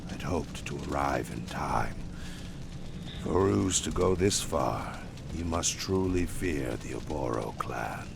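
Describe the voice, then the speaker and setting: An actor playing a grave, ominous supernatural figure in a video game cutscene, speaking in a solemn, formal, portentous manner. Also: male voice, extremely low pitch, expressive, slow, vocal fry, noisy background, exaggerated voice. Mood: solemn, brooding. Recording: music behind the voice.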